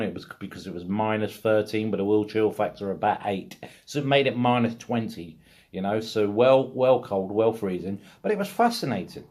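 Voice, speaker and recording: A man's voice: lowish voice, a little mellow. A British man in middle age talks casually, like a video blogger recounting his own experiences. His delivery is rambling yet blunt and emphatic. He swears freely as he goes.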